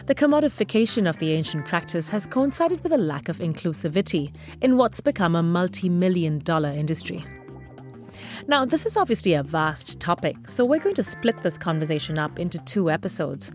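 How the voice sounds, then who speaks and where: A woman host narrating a podcast in a calm, clear, scripted presenter style. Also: fairly low pitch.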